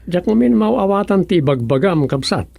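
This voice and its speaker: Fed-up village official in a radio drama, mellow but croaky, sarcastic. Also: male voice, high voice, lively intonation, moderate pace, no echo, exaggerated voice. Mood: exasperated. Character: exasperated village chairman, sarcastic and put-upon. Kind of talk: radio comedy drama acting.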